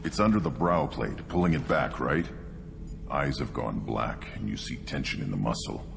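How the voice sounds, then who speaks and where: Cavernously deep, gravelly alien brute. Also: male voice, heavily acted. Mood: brooding fascination. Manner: halting, intense musing. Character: young hulking alien warrior, brutal, brooding, bloodthirsty. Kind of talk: video game character dialogue. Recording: long reverb, music behind.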